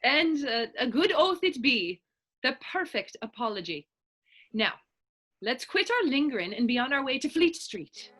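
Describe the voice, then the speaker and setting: Actress as a bold, teasing woman, lively pitch. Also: a little slow, full character voice. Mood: wry, reassuring. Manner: playful, lilting old-fashioned dialect speech. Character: bold, teasing grown Irish woman of Dublin. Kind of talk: readers theater stage acting.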